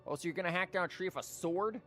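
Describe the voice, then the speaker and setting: A man's voice: high-pitched, fast, bright, forceful tone, faint music behind. Slangy, sweary male game streamer. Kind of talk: casual live gameplay commentary.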